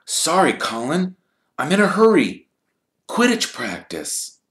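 Man playing a weary teenage boy, flat, resigned, patient, roomy echo. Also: fairly high pitch, takes it slowly, pitched above the performer's own voice, exaggerated voice. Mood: reluctant.

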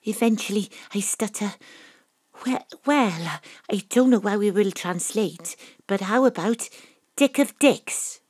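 Astrid, a clear-voiced detective narrator. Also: female voice, medium pitch, slow, a little mellow, no echo, clean studio sound. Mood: proud, moved. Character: Astrid, proud, dramatic female detective narrator. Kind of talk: scripted audio drama narration.

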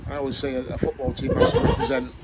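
A man in his thirties, a football manager giving a frank, measured post-match interview, often repeating words as he thinks. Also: medium pitch, wildly swooping pitch, over a noisy crowd. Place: outdoors at a football ground. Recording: loud wind and background noise.

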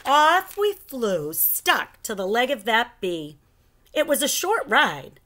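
A middle-aged woman reads a children's story aloud. Her voice sits fairly high and moves with lively, expressive swings. She takes her time, telling it deliberately as a teacher would. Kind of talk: expressive children's picture book read-aloud. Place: living room at home.

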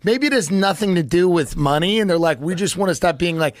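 Higher-pitched American man, sardonic podcast guest. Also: animated, a little fast. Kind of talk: casual, joking conversation. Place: indoor podcast studio. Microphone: close dynamic microphone on arm, headphones.

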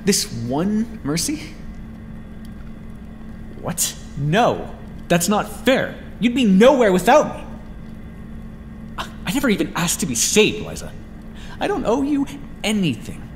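A man's voice: sits high, huge pitch swings, slow, pauses often, full character voice. A man voicing a sardonic, protective vampire scientist in an ASMR roleplay, with dramatic, formal speech that often drops toward a whisper. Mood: indignant, defiant. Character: desperate, sardonic male vampire scientist, protective of his captive. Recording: hall-like reverb with clear background noise.

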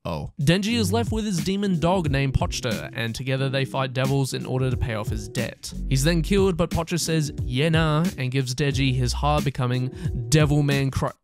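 Young guy doing a video essay voiceover, kinda sardonic and jokey, normal pitch, music going behind him. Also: lively intonation, normal speed. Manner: informal, joking, sardonic. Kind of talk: scripted anime review narration with casual asides.

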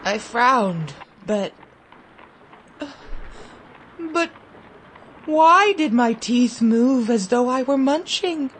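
A woman reading a literary story in the first person, expressive, vivid and deliberate. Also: fairly high pitch, slight background noise. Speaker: story reader.

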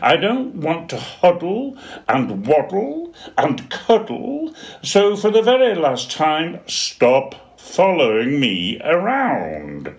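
A man voicing a small young male penguin who wants to be left alone, high and indignant. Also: huge pitch swings, slow pace, heavily acted. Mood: exasperated.

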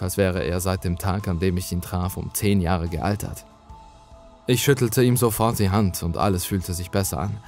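A man's voice: low voice, darkish tone. A man reading a scripted first-person horror story as its narrator.

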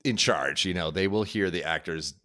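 A man's voice: sits low, moderate pace, bright, forceful tone. A middle-aged man chatting casually as a podcast host, anecdotal and amused, on a close microphone in a room at home. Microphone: close podcast microphone, headphones.

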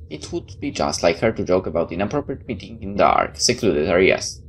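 A woman's voice: very deep voice, sing-song, brisk. A woman gamer reading game text aloud and adding casual, incredulous commentary. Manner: incredulous asides. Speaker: gamer doing a playthrough. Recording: faint background noise.

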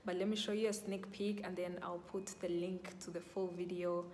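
A young woman chatting to her phone camera in a casual vlog, upbeat and excited about her busy day. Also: lowish voice, moderate pace, a little hiss or hum. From Rwanda. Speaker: real estate vlogger. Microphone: phone camera at arm's length.

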